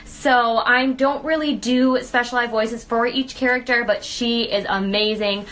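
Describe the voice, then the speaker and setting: A woman with a bright, somewhat high voice hosts a casual live chat. She talks informally and is quick to play down her own skills. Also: fairly even intonation. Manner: informal, self-deprecating. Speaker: host of a live video series.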